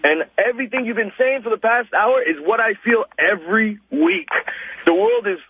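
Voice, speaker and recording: A man's voice: very high voice, big pitch swings, moderate pace, slightly rough, no echo. A man calling a radio show, ranting casually in an emphatic, exasperated way. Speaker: radio show caller, salesman. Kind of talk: casual phone-in rant. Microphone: telephone. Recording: tinny phone line with faint noise.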